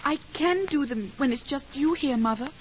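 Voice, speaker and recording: A woman's voice: sits high, expressive, normal speed. A performer voicing a polite, anxious young boy in a 1950s radio drama. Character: frightened earnest young boy. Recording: dull lo-fi vintage radio recording with hiss.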